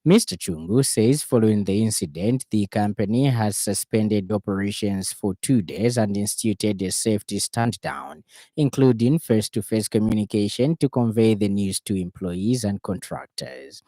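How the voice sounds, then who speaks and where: A man's voice: fairly low pitch, moderate pace, mellow, dry recording, clean studio sound. A man with a Zambian accent reading a scripted radio news bulletin in a formal, declarative way. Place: radio studio.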